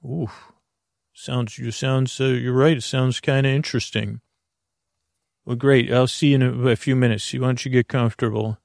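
A man with a creaky voice hosting a bedtime podcast in a soothing, meandering monologue that halts and loses its thread. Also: medium pitch, steady tone, a little mellow, no echo, studio quality. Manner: meandering, halting, losing his thread; soothing, lulling. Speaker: podcast host.

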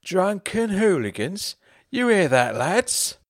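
A man putting on a loud, tipsy middle-aged dad who slurs and jeers, his voice lifted higher and harder than plain talk. The sound is dry and close, with no room around it. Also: very animated, takes it slowly, dry recording, exaggerated voice. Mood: mocking. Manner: loud, slurring drunk voice, mocking.

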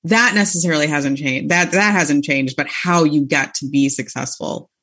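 Chatty woman guest, lowish voice. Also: fairly bright tone, breathy edge. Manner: chatty, lots of "like" fillers, quick to laugh. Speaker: guest author. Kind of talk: casual interview chat.

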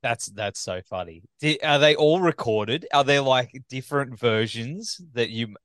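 A man's voice: medium pitch, a little slow, a bit bright, no room sound. A man, hosting a podcast interview in an informal, chummy, chatty way. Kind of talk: casual interview chat.

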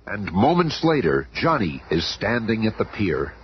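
A man's voice: fairly low pitch, very animated, measured pace. A man narrating a radio serial from a script in a grand, theatrical, dramatic manner. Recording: muffled, noisy old radio recording.

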